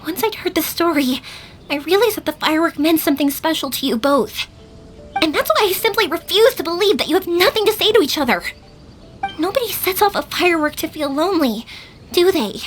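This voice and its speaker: A woman's voice: very high-pitched, animated, fairly quick, faint music behind. A woman playing a cheerful, kind young fireworks maker in a video game, with a friendly, informal and encouraging way of talking. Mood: earnest.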